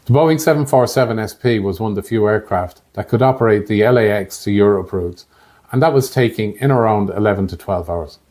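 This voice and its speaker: Irish male narrator, late fifties, low-pitched, measured delivery for an informative podcast. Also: a little mellow. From Ireland. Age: late 50s. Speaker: podcast host, air traffic controller. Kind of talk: scripted narration.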